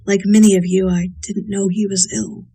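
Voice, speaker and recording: A woman reading a prepared tribute in a measured, sincere manner. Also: mid-range voice, little pitch movement, dark tone, slightly rough. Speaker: voice actor paying tribute.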